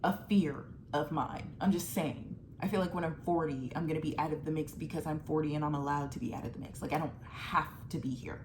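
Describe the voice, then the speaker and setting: A woman's voice: low voice, background noise. A woman in her twenties giving casual, emphatic movie commentary, full of filler words. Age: 20s. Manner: chatty and emphatic, full of "like", swings from fast to drawn out.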